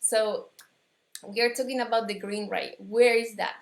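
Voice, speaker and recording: A woman from Argentina with an Argentine Spanish accent, teaching a live metaphysics lesson in English, pausing and restarting as she searches for words. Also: a little high, fairly even intonation, gravelly, roomy sound. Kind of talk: live-streamed spiritual lesson.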